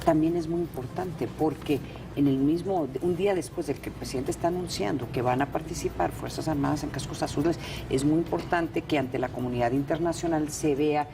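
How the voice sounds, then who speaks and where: A woman's voice: deep voice, a little fast, creaky, distant mic, noisy background. A woman co-hosting a political panel show, agreeable and chiming in often with short affirmations. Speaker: talk show host.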